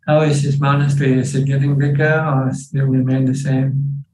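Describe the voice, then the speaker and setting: Mellow male meditation teacher in an echoey room. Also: mid-range voice, little pitch movement, moderate pace, slight background noise. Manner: instructive, repeats key phrases. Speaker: Buddhist monk and meditation teacher. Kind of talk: question-and-answer teaching. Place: online meeting from an echoey room. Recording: echoey room over video call.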